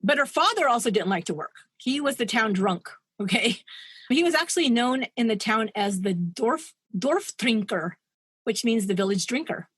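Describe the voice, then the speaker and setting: A woman's voice: on the high side, lively intonation, normal speed, a bit bright, breathy edge, no room sound. A woman co-hosting a podcast, retelling a true-crime story in a chatty, digressive way and laughing at her own asides. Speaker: podcast co-host.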